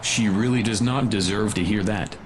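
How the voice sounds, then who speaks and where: A man's voice: on the low side, normal speed, background noise, lightly acted. A man playing a wry, matter-of-fact secret agent and reluctant conspirator in a sci-fi audio drama. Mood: wry, regretful.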